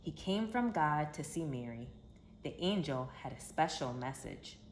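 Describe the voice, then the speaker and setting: A woman reading a children's story aloud in an expressive, emphatic storytelling manner. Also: low voice, a little slow. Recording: roomy echo with background hiss.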